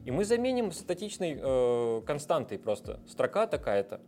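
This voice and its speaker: A man's voice: mid-range voice, big pitch swings, moderate pace, darkish tone, soft background music. A man guest in a casual interview, explaining technical ideas at length with frequent hesitation sounds. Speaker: guest, software developer.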